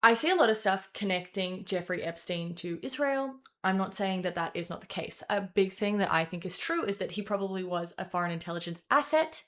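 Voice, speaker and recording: A young woman talks through the news from her desk in a loose, off-the-cuff commentary voice, with a middle-pitched tone that sits right where most women's voices do. She rambles, swears and corrects herself mid-sentence as she goes. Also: fairly bright tone. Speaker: political commentator and host. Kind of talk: casual opinion commentary to camera.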